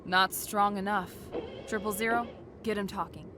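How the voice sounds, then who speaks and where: Casting an actress as a cynical young adventuress: sardonic, commanding, medium pitch, comic dub drama with prominent backing music. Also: female voice, steady tone, moderate pace, bright, forceful tone, close to an everyday voice. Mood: harsh, dismissive. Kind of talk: sci-fi comic dub acting.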